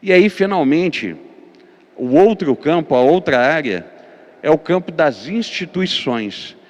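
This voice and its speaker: A man's voice: fairly high pitch, lively intonation, unhurried, long reverb tail. A Brazilian man with a Minas Gerais accent, a pastor giving a lecture-style teaching, hesitating with fillers and repeated words, then driving his points home with emphatic lists. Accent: Brazilian Portuguese, Minas Gerais.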